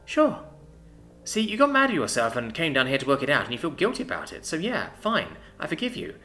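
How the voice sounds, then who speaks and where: A man reading a story, voicing a bold, sharp-tongued young woman who teases and scolds her boyfriend. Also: a little high, fairly quick, faint music behind, voice pushed up, exaggerated voice. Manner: lively, sharp, teasing.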